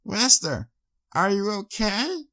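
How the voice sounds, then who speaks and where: A man's voice: high-pitched, big pitch swings, slow pace, pushed and bright, pitched above the performer's own voice, full character voice. A man voicing a brave young female pony in a storybook reading, anxious, caring and pleading. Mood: worried.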